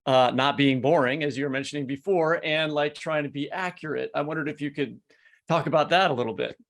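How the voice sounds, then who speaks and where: Higher-pitched man, casual podcast co-host. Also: fairly quick. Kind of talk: casual literary discussion.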